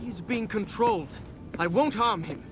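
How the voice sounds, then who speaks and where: An actor playing an earnest, defiant young prince in a dramatic, urgent way for a video game cutscene. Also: male voice, high voice, a little slow, over music. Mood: earnest, resolute.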